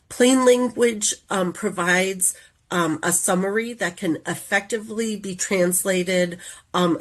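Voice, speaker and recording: A woman with a head cold and a nasal voice gives a careful, explanatory online presentation as a community engagement coordinator. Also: medium pitch.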